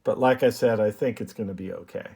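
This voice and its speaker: A man giving a casual, unscripted show-and-tell as a hobbyist, halting now and then as he thinks aloud. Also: fairly low pitch, gravelly, a little mellow, slightly rough. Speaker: hobbyist presenter of a radio repair video.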